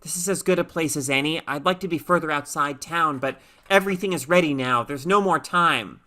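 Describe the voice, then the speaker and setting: A woman's voice: sits low, a little fast, fairly bright tone. A woman voicing a frightened, bewildered teenage girl in a story reading, urgent and questioning. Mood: agitated, compelled.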